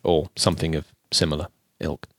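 A man's voice: a bass voice, little pitch movement, a little fast, gravelly, fairly bright tone, dry recording. A man in his forties co-hosting a podcast, chatting casually with hesitant ums and dry jokes. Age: 40s. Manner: rambling, hesitant with many ums, dry joking. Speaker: podcast co-host. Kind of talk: casual chat.

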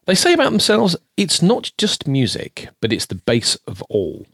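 A man's voice: on the high side, wildly swooping pitch, moderate pace, vocal fry, fairly bright tone, breathy edge, dry recording. A man in his forties co-hosting a podcast, chatting casually with hesitant ums and dry jokes. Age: 40s. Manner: rambling, hesitant with many ums, dry joking. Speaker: podcast co-host. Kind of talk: casual chat.

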